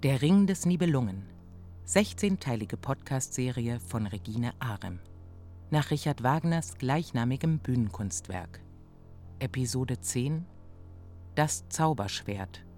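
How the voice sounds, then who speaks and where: A man's voice: mid-range voice, animated, unhurried, darkish tone, soft background music. A man reading scripted series titles and credits as an announcer.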